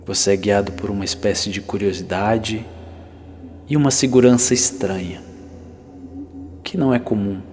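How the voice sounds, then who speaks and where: A man narrating a guided ASMR journey slowly and softly, with pauses and near-whispered moments. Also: on the low side, fairly even intonation, slight background noise. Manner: hushed, unhurried, with soft pauses, sometimes near whisper. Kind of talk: slow immersive ASMR guided narration, second person. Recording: long reverb over the voice. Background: music.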